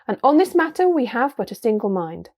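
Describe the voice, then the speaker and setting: A woman voicing a tiny, chivalrous male mouse warrior, shrill, courtly and proud, in a storybook reading. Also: big pitch swings, a little fast, darkish tone. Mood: courtly, prickly. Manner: courtly, formal, touchy about honour.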